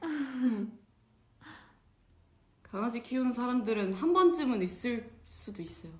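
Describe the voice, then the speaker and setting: Brief: young female co-host from South Chungcheong, giggly storyteller, pitch all over the place, echoey room. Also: lively intonation, unhurried, a little mellow. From South Chungcheong, Korea. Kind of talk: casual chat. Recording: roomy echo.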